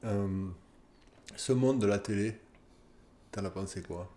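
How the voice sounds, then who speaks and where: A man's voice: on the low side, takes it slowly, mellow, slight background noise. A man interviewing a guest, mostly giving short prompts and agreeing. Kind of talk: casual interview.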